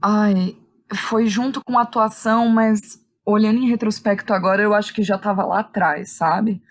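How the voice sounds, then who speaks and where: A woman's voice: mid-range voice, fairly even intonation, moderate pace, no echo. A woman with a São Paulo Brazilian accent chatting informally as an interview guest, often checking in with little tag questions. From Santo André, São Paulo, Brazil. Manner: informal, conversational, uses frequent tag questions. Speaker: guest, theatre director and playwright. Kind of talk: casual interview chat.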